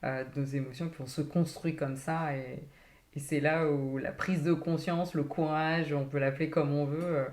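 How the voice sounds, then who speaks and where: A woman hosting a podcast, speaking hesitantly and reflectively, with many pauses and restarts. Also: low voice, steady tone, a little mellow. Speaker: podcast host. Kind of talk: casual interview talk. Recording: roomy echo.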